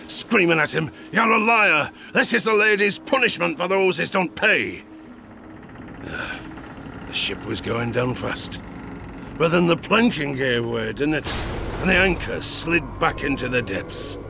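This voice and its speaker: An actor playing an old, garrulous sailor and former harpooner, telling tavern tales in rustic seafaring dialect for an audio drama. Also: male voice, on the high side, vocal fry, noisy background, exaggerated voice. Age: older. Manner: rustic sailor dialect, chuckling, conspiratorial asides. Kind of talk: theatrical audio drama storytelling.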